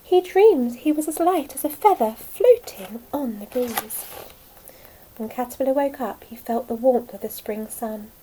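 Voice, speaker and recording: A woman speaking as a nursery teacher, telling a story to young children in a simple, expressive way. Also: fairly high pitch, measured pace, a little hiss or hum, low-quality recording.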